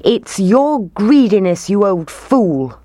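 Woman voicing angry townsfolk, raised and loud. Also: mid-range voice, very animated, slow, dry recording, pitched above the performer's own voice. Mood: scolding, demanding. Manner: loud, scolding, demanding. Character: crowd of angry, scolding townspeople. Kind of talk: children's storybook character voice.

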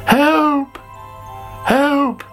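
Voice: high-pitched, fairly even intonation, extremely slow, a bit bright, soft background music, pitched above the performer's own voice, heavily acted. A storyteller voicing an anxious, greedy young male caterpillar in a children's story, with a plaintive, over-dramatic wail. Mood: panicked.

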